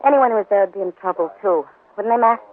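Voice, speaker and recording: A woman's voice: medium pitch, quick pace, noisy background, lightly acted. An actress playing a frank, defiant Old West saloon woman in a 1950s radio western, blunt and plain-spoken. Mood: confiding, concerned. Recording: muffled, narrow 1950s radio recording.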